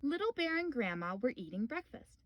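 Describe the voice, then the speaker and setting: A woman's voice: on the high side, sing-song, brisk, reverberant, recorded from a distance, muffled recording. A woman hosting story time, speaking simply and expressively to young children as a read-aloud reader. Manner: simple questions and encouragement addressed to small children.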